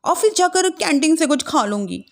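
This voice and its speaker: A woman's voice: high voice, sing-song, normal speed, gravelly, pitched above the performer's own voice, full character voice. A woman voicing a young working daughter-in-law who is indignant and defiant, arguing in a plaintive way. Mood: hurried, worried. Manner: plaintive, argumentative.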